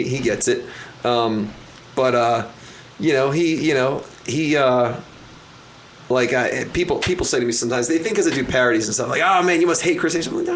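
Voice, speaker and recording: A man talks loudly and in a loose, rambling way, starting over often as he chats as a guest on a live-streamed show. His voice sits in a middle pitch. A faint hiss of noise runs under the recording. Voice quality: loud, rambling with many restarts. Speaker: guest, magician. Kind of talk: casual interview chat.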